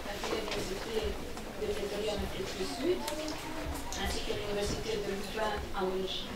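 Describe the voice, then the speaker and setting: A woman from Italy giving a formal opening address as the president of an association, speaking in a measured way and often hesitating. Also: medium pitch, very noisy. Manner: measured, frequent hesitations, reads prepared remarks. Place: conference hall. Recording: echoey hall, speaker far from mic.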